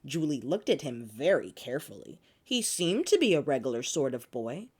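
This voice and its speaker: Female storybook reader, expressive and theatrical, with swooping intonation for young listeners. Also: rumbling low, moderate pace, slightly rough. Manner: animated, theatrical delivery. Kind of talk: expressive picture-book read-aloud.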